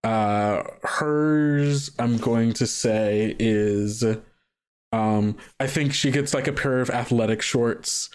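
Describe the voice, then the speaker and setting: A man's voice: medium pitch, slow pace, clear, resonant tone. A man running a tabletop roleplaying game, narrating casually and hesitantly as he thinks aloud and trails off. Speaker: game master.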